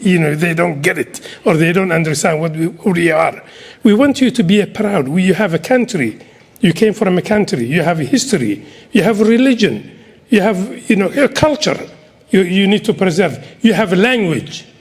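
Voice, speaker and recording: A middle-aged man from Somalia with a Somali accent, giving an earnest speech to an audience. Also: high-pitched. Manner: earnest and exhorting, with repetitions. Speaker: community speaker.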